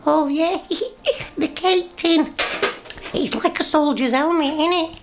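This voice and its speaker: A woman's voice: high-pitched, slow pace, background noise, pitched above the performer's own voice. A woman voicing an eager, cheeky young boy, nagging his parents with questions in a radio comedy sketch. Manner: chirpy, nagging, full of questions.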